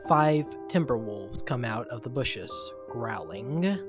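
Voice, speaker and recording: A man's voice: mid-range voice, lively intonation, takes it slowly. A man reading scripted story narration as the narrator. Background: background music.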